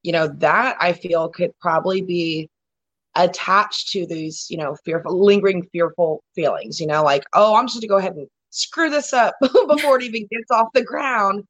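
A woman's voice: lowish voice, moderate pace, highs cut off. A woman hosting a casual conversation, talking in a rambling way with lots of "you know" fillers and restarts.

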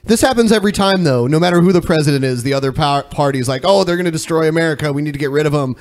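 Male co-host, slightly high pitch, blunt conversational opinions, casual banter. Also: racing. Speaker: podcast co-host.

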